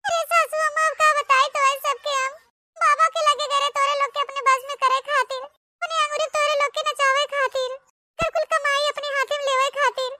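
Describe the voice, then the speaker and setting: A woman's voice: extremely high pitch, fairly even intonation, fairly quick, bright, forceful tone, dry recording, heavily acted. A woman voicing a scheming, dramatic young daughter-in-law in an Awadhi comedy cartoon, with an exaggerated, theatrical delivery and an Awadhi accent. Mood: confessing.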